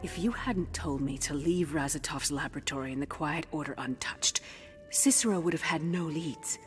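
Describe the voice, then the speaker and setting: A woman's voice: deep voice, moderate pace, natural voice. An actress playing a proud, driven female commander in a fantasy game, with a formal, intense and demanding manner. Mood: troubled. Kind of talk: scripted fantasy game voice acting. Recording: game music behind the voice.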